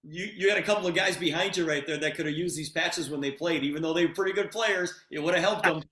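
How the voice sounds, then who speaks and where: A man's voice: fairly high pitch, fast, fairly bright tone. A man hosting an online meeting in an enthusiastic, informal way, repeating phrases for emphasis on a video call. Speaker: host of an online testimonial meeting. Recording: roomy, echoing video-call sound.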